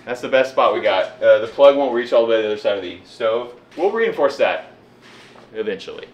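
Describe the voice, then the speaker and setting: A man's voice: medium pitch, lively intonation, brisk, roomy sound, lo-fi sound. A man in his thirties giving a casual, joking walkthrough vlog of an old stone house, recorded on a camera mic. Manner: upbeat, joking, wry. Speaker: vlogger.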